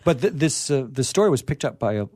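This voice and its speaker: Theatrical middle-aged man, animated storyteller. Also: mid-range voice, fast talker, dry recording, clean studio sound. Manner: theatrical, repeats phrases for emphasis. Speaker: radio theater show host. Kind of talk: casual chat and storytelling.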